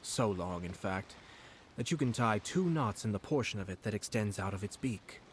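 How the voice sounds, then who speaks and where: An actor playing a composed young noble commissioner in a video game, speaking with measured, courteous, quietly sardonic calm. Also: male voice, lowish voice, slight background noise, close to an everyday voice. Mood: calm, cutting. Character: composed noble male commissioner, politely cutting. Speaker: game character.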